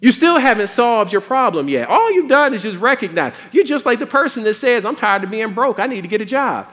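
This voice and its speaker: A man preaching a sermon in a folksy, animated way with playful asides. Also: high voice, brisk.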